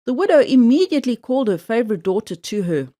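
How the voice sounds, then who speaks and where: A woman reading a fairy tale aloud for children in an expressive, measured storybook style. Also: fairly high pitch.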